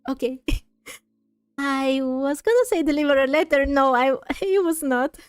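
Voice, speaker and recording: A woman with a high-pitched voice comments casually on a game she is playing. She thinks aloud and questions what she sees. The sound is dry, with hardly any room in it. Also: expressive, unhurried, dry recording, soft background music. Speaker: game streamer.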